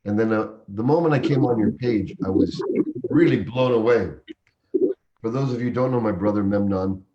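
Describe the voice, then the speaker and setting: A man's voice: on the low side, moderate pace, dark tone, dull audio. A man born in Uganda hosting a livestream interview, introducing his guest with effusive, reverent praise. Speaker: host.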